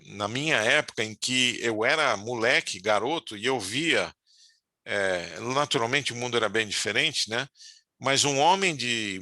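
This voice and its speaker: A middle-aged man giving a relaxed spiritual talk, speaking conversationally and often tagging his sentences with quick questions. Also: medium pitch, dry recording, studio quality. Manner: conversational, frequent "né?" tag questions. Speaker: spiritual teacher.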